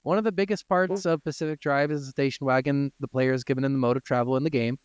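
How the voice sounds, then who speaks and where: A man's voice: medium pitch, fairly even intonation, quick pace, smooth, no echo. A man hosting a casual gaming podcast, moving between informal, sweary chat and reading from notes. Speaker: podcast host.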